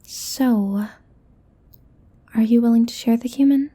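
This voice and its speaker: A woman's voice: fairly high pitch, takes it slowly, mellow. A woman playing a possessive, teasing succubus demon in an ASMR roleplay, often dropping into a whisper. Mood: playful, sly.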